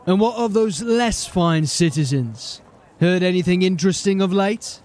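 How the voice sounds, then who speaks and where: An actor playing a gruff, shrewd city watch sergeant in a fantasy audio drama, probing and matter-of-fact. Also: male voice, high voice, normal speed, darkish tone, natural voice.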